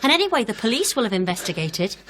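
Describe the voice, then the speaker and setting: Confrontational girlfriend, fairly high voice, radio drama, faint noise behind. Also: very animated, quick pace, a bit bright. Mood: accusing, insistent. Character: determined, confrontational girlfriend of an accused man. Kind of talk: naturalistic radio drama acting.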